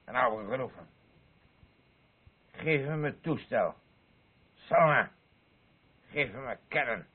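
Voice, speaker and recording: A boisterous, rambling drunkard of a husband in a radio play. His voice is husky and leaps widely in pitch, veering between elation and weariness. The recording is narrow and muffled. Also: expressive, slow, no echo. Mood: gruff, goading. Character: boisterous, rambling alcoholic husband obsessed with a horse. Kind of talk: Dutch radio play acting.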